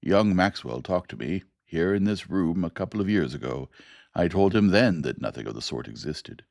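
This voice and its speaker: A man voicing a frail retired old general who flatly denies the claims. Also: low-pitched, normal speed, vocal fry, no room sound, clean studio sound. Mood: dismissive. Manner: firm, categorical denials.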